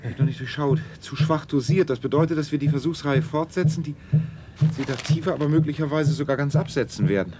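Agitated, higher-voiced male scientist, acted by a man. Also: fairly quick, background noise, lightly acted. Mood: excited, amazed. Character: excitable male scientist in a laboratory, amazed at results. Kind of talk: science fiction radio play acting.

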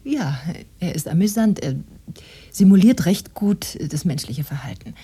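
Eine junge Frau spielt in einem Hörspiel eine spröde, empfindliche Sekretärin mit behauchter Stimme und genauer Sprechweise. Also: female voice, fairly low pitch, very animated, unhurried, warm tone, full character voice. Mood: softened, musing. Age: young adult. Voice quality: husky, breathy. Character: prim, touchy young female secretary with philology doctorate. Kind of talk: conversational radio drama acting.

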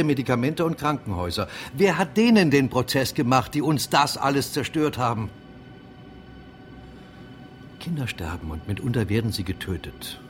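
An actor playing an angry, bullying middle-aged mayor in a German radio drama, scornful and commanding. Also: male voice, mid-range voice, natural voice.

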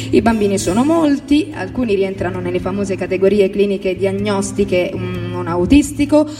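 A woman reads a first-person literary text for radio in a measured, deliberate way, pausing before key words. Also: medium pitch. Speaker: teacher reading her own text. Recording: distant, very reverberant, music underneath. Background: instrumental music, guitar.

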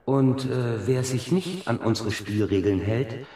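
An actor playing a self-important, fussy small-town mayor giving a solemn, halting speech in a radio drama. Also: male voice, medium pitch, animated, mellow, lightly acted. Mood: solemn pride. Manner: pompous, halting, savouring his words. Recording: roomy echo, distant from mic.

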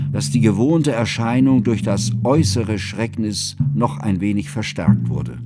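An actor playing a troubled, devoted scholar who narrates his friend's biography in a measured, formal, pedantic way for a radio drama. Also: fairly low pitch. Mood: agitated, solemn.

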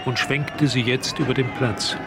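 A man narrating a radio drama in a measured, literary storytelling manner. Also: mid-range voice, steady tone, vocal fry, a loud crowd, very noisy. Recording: loud music and street sounds behind.

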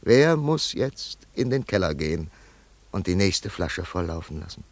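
A brooding older father speaks in a radio drama with a low voice, very dry and close. He is slow and halting, full of grief and longing, swelling suddenly and sinking back. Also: sing-song, a little mellow, no echo, heavily acted. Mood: wistful, brooding. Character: grieving wealthy old father, brooding and yearning. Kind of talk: German radio play monologue.

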